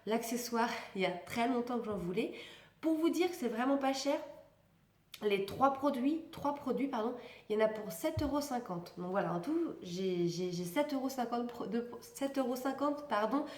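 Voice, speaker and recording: A woman's voice: fairly high pitch, lively intonation, moderate pace, a little mellow, reverberant. A young woman with a stuffy nose from a cold, chatting casually and rambling as she tests beauty products in a vlog. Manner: chatty, rambling, self-correcting, laughs often. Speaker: beauty vlogger.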